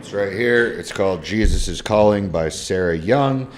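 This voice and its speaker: Low-voiced slangy man in his thirties. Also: animated, moderate pace, noisy background. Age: 30s. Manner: loose, slangy, swears freely. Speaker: social media creator. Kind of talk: casual live stream, reading DMs aloud.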